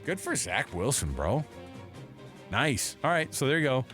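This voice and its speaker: An American man co-hosting a sports talk show, casual and slangy, joking and excitable as he gives his commentary. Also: medium pitch, measured pace, music in the background. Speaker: sports radio co-host. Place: radio studio.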